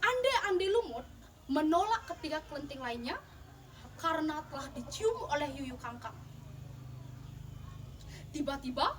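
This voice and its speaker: A young woman telling a folktale in a theatrical, emphatic storytelling style, as if in a contest. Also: very high voice. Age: young adult. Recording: distant mic, clearly noisy background.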